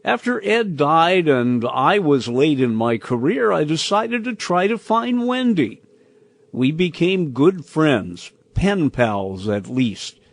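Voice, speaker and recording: Slow, animated older American man telling stories. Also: a little high, dry recording. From Indiana, United States. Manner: measured, reminiscing storyteller. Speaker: host and storyteller. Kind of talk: scripted personal narration.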